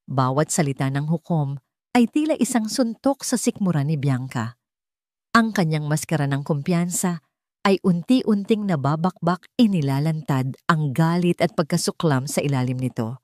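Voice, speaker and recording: A woman's voice: low voice, animated, normal speed, darkish tone, no echo, clean studio sound. A woman narrator reading a scripted dramatic audiobook story.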